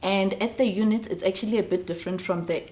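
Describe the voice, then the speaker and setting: A woman's voice: medium pitch, fairly even intonation, fast talker, a little mellow. A woman with a South African accent, speaking as an air traffic controller being interviewed, explaining things informally.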